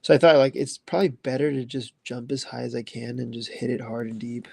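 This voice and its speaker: Young man in his twenties, gravelly low-key voice, rambling casual chat host, dry close mic. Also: little pitch movement, a little fast, darkish tone, no room sound. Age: 20s. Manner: loose, rambling, filler-heavy. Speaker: livestream host answering chat.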